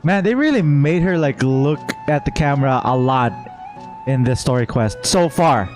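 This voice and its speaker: A man's voice: mid-range voice, expressive, normal speed, darkish tone. A man doing casual live gameplay reaction commentary as a streamer, playful and joking. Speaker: game streamer. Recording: faint game music behind.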